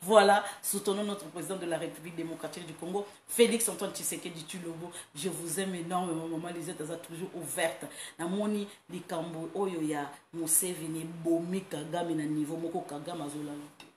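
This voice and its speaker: A woman from France hosting a casual online monologue, animated and quick to laugh, breaking into playful chants. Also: on the low side. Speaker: online talk host. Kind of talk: casual monologue, mixing Lingala and French. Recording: roomy echo, faint background noise.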